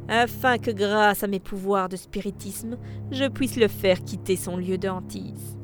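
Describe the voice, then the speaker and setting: A woman's voice: mid-range voice, measured pace, music in the background, natural voice. A woman acting a theatrical fortune-teller. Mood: salesy. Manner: formal, mannered, salesy. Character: theatrical, mercenary female fortune-teller selling spiritism. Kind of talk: audio drama acting.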